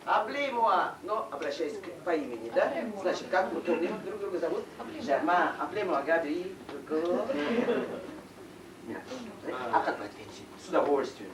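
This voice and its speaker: Woman, language teacher, high lively voice, distant recording in a noisy classroom. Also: a loud crowd. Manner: repeats phrases slowly and clearly, coaxing. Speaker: French teacher. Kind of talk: language class, drilling phrases for repetition. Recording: echoey room recorded from afar, group noise.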